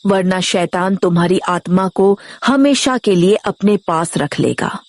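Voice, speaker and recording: A woman playing a grave, fearful village grandmother giving dire warnings in an animated horror story. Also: on the low side, unhurried, no echo. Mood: grave, alarmed.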